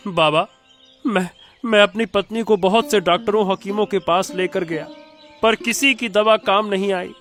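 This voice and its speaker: A man's voice: sits high, measured pace, fairly bright tone, soft background music. A man playing a poor, gullible husband who pleads deferentially and hesitantly in a Hindi cartoon. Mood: pleading. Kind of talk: Hindi cartoon moral story acting.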